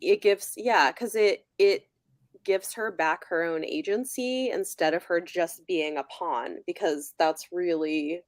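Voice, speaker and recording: A woman's voice: on the low side, slow pace, no room sound, studio quality. A woman chatting casually and thoughtfully as a panelist in a book discussion. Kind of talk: casual chat.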